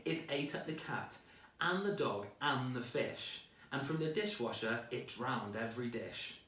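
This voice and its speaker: A man's voice: mid-range voice, expressive, measured pace, a bit bright. A man reading a children's storybook aloud with dramatic, rhythmic delivery, recorded at a distance in an echoey room.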